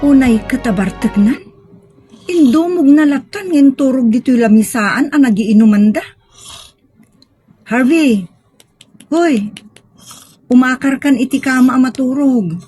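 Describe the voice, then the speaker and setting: A woman playing an exasperated, nagging wife in an Ilocano drama, with a husky voice. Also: sits high, measured pace, long pauses, mellow, soft background music. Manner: nagging, questioning. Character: exasperated wife of a selfish engineer. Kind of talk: Ilocano audio drama acting.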